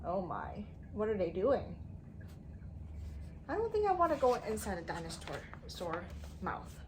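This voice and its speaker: A woman teacher reading a story aloud to young children in an expressive way, using simple phrasing and putting questions to them. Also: medium pitch, normal speed, noisy background. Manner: expressive, emphatic delivery.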